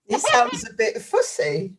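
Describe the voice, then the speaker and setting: Slow-talking, amused woman. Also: on the high side, huge pitch swings, husky. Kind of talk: casual chat.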